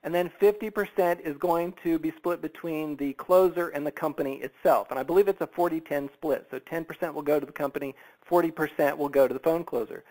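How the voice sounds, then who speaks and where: A man presenting an enthusiastic business sales pitch in a persuasive, emphatic and conversational way, tossing in rhetorical questions. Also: brisk, darkish tone, rough recording. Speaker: sales presenter on a business opportunity conference call.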